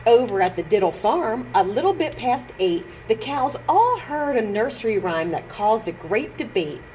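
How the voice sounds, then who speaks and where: A woman reading a picture book aloud to young children in a sing-song, emphatic way, pausing to comment as she goes. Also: a little high, sing-song, mellow, a little hiss or hum, low-quality recording. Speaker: children's librarian storytime reader.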